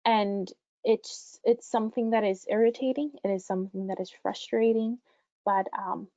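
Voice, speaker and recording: A woman's voice: medium pitch, fairly even intonation, normal speed, warm tone, no room sound. A young woman college student whose first language is Spanish, speaking earnestly and emphatically about her experience on a panel over a video call. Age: young adult. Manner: earnest, emphatic, building conviction. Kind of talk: personal testimony on a panel. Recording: muffled dry online audio.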